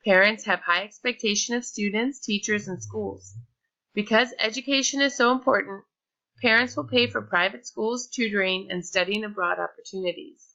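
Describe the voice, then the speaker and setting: A woman's voice: medium pitch, steady tone, normal speed, bright, forceful tone, dull audio. A woman reading a scripted slide presentation aloud, with small slips and restarts.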